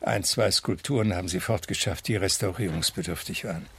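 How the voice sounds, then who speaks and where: An actor playing a refined, ailing gentleman in his late fifties, reminiscing in a cultivated, wordy way in a German radio play. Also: sits low, fairly quick, a little hiss or hum. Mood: nostalgic. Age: middle-aged.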